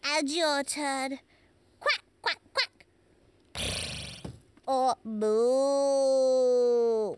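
Very high-pitched cheerful tiger puppet. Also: female voice, lively intonation, slow pace, a bit bright, a little hiss or hum, heavily acted. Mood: encouraging. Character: cheerful young tiger puppet, encouraging and bouncy. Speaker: quiz host. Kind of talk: children's quiz show presenting.

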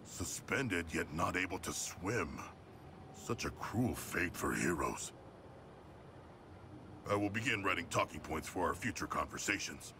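An actor voicing a huge, gentle shark-man in a video game, formal, courteous and earnest. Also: sits low, animated, unhurried, pauses often, background noise.

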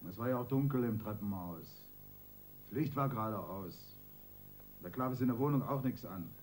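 A man's voice: medium pitch, lively intonation, normal speed, darkish tone, faint music behind, close to an everyday voice. A man playing a domineering senior police detective in a radio crime drama, mocking, condescending and emphatic. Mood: sarcastic, dismissive.

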